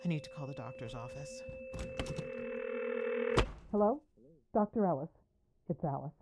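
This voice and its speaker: A woman's voice: sits low, lively intonation, a little slow, heavy background noise. A woman acting in an audio drama as an ailing, pill-dependent mother, impatient and evasive, with a coaxing way of talking.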